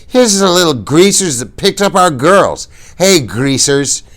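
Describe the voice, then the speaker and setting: A drunk, mocking rich boy who slurs and sneers, as a man puts him on, much higher and louder than the reader's own deep voice. He drags his insults out slowly. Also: expressive. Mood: taunting. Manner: mocking, slurred, taunting.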